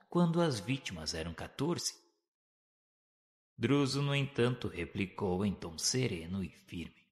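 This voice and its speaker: A Brazilian man delivers formal, dramatic narration for a radio novel. His voice sits on the low side. Long hall reverb trails behind his literary, solemn phrasing.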